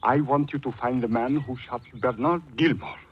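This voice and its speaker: An actor playing a grave, wealthy immigrant businessman in his forties, halting and formal, in 1940s radio drama. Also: medium pitch, slightly rough, lightly acted. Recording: dull lo-fi vintage radio recording.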